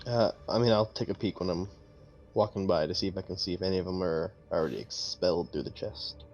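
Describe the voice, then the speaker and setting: A man's voice: low voice, fairly quick, darkish tone. A man playing a tabletop roleplaying game, talking casually with the other players. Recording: faint background noise.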